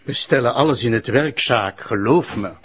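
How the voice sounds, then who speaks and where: Actor as male public prosecutor, husky, medium pitch, composed, formal, crime drama. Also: normal speed, natural voice. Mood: reassuring. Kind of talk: crime audio drama acting.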